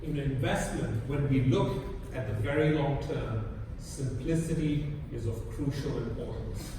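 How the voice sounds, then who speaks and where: A middle-aged man from Kenya giving a prepared talk to an audience, halting with frequent restarts and fillers. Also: mid-range voice. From born in India, grew up in Kenya. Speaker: conference speaker. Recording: echoey hall from far off with background noise.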